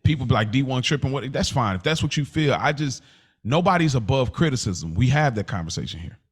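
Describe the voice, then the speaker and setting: Man, medium pitch, podcast co-host, casual debate, earnest, argumentative, slangy, repetitive phrasing. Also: animated, fairly quick, breathy edge.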